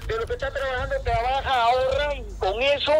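A middle-aged man, heard through a phone speaker. He scolds in a high, indignant tone, pushing back hard and raising his voice as he argues. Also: fairly even intonation, brisk. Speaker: caller's father on the phone. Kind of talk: heated phone argument.

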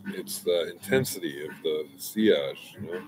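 A man's voice: low voice, normal speed, over music, low-quality recording. A man in his forties giving a casual, meandering fragrance review outdoors, with lots of restarts and asides, on a close webcam. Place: outdoors by a brick wall, smoking.

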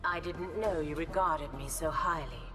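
A woman's voice: sits low, moderate pace, close to an everyday voice. A woman playing a composed, proud warrior and royal advisor in a video game, speaking formally. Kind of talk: video game cutscene dialogue. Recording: distant, under loud game music.

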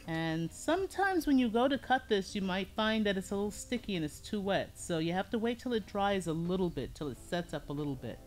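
A middle-aged woman with a low voice teaching a hands-on pottery lesson in a studio, explaining each step calmly as she works. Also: fairly quick, few pauses, soft background music. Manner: calm, explains step by step while working with hands. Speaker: ceramics teacher.

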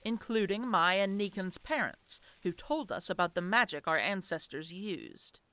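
A woman playing a practical, reasoning young seafarer in a fantasy audio drama, explaining in a measured way. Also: medium pitch, lively intonation, sharp tone, dry recording. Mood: thoughtful.

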